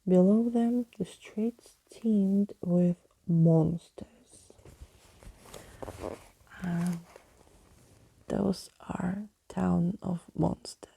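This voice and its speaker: A woman reads a story in a gentle ASMR manner. Her voice is very dark and mellow, breathy and husky, with heavy creak throughout. She often drops toward a whisper while staying expressive. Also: lowish voice, drawn-out words, long pauses, rough recording. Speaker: ASMR storyteller reading a book aloud. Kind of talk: soft ASMR storybook reading.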